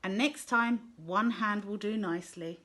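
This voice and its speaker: A woman voicing a kind, cheerful infant-school teacher who speaks in a bright, encouraging way. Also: medium pitch, unhurried. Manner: bright, encouraging, gently teasing.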